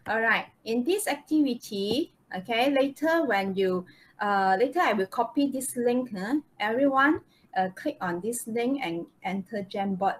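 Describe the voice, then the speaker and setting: High-voiced Malaysian woman teaching online. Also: lively intonation, measured pace. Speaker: English teacher. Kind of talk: live online lesson, instructing students. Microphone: video call microphone. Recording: noisy online video call.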